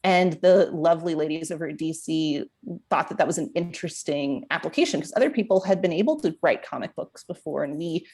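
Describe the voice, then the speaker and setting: A woman's voice: low voice, a little fast, darkish tone, slightly rough. An American woman telling a story conversationally in an interview, chatty, with plenty of "like" and "you know". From Florida, United States. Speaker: comic book and TV writer, podcast guest.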